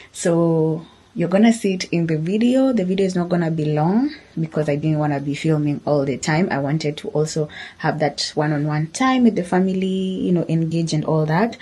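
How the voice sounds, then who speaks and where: A woman's voice: low-pitched, mellow, slightly rough. A woman chatting casually and earnestly to her viewers as a vlogger, in an informal way. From Kenya. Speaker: vlogger, host.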